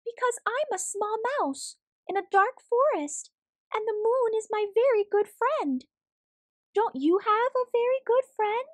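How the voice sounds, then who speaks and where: A woman voicing a small female storybook mouse, tender, sincere and soft-spoken. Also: very high-pitched, lively intonation, unhurried, no echo, voice pushed up. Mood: earnest.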